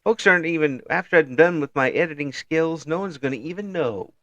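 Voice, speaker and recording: A man from Illinois hosting a casual online interview, talking in halting restarts with frequent chuckles. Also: fairly high pitch, fairly bright tone, no room sound, muffled recording. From Illinois, USA. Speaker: interview show host.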